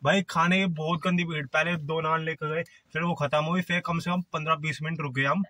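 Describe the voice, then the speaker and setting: A man's voice: a little high, steady tone, brisk, bright, forceful tone, dry recording. A man in his twenties chatting casually to viewers from a phone held at arm's length, joking with a friend. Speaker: vlogger. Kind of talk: casual vlog chat. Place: concert hall, outdoors, then inside a car.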